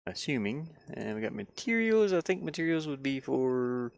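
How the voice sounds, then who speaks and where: A man's voice: fairly high pitch, big pitch swings, moderate pace, gravelly. A man chatting casually on a livestream through a headset, thinking aloud in a rambling, musing way and often questioning himself. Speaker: live streamer learning game design.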